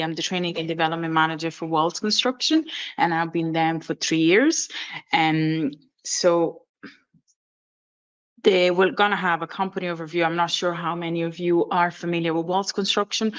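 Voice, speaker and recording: A woman from Italy with an Italian accent gives an informal professional presentation as a training manager, hesitating and often correcting herself. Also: on the low side. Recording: dull, lo-fi audio.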